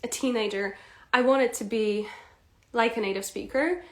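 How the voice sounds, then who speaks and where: A young woman coaches English live, chatty and quick to laugh as she speaks to her viewers. Her voice sits a little high. The room gives her an echoey ring. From Poland. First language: Polish. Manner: lively, laughs often, addresses viewers directly. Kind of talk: live-stream teaching chat.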